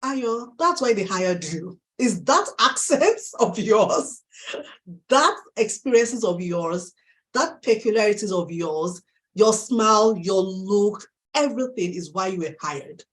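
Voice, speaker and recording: A woman with a Nigerian accent hosting a live interview, affirming her guest warmly and laughing often. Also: medium pitch, lively intonation, slow. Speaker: host.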